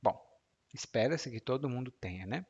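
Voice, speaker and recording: A man from Ceará in his thirties with a Brazilian Portuguese accent, a teacher patiently explaining vocabulary in a lesson with soft asides. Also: medium pitch, expressive, normal speed, breathy edge, muffled recording.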